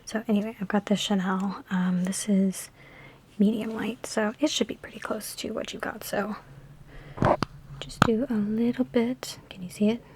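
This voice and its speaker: A woman in an ASMR roleplay speaking in a soft, breathy whisper mixed with hushed talk, with a sassy, teasing, chatty big-sister manner, close to the microphone. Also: mid-range voice, level tone, normal speed, noisy background. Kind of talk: ASMR personal attention roleplay.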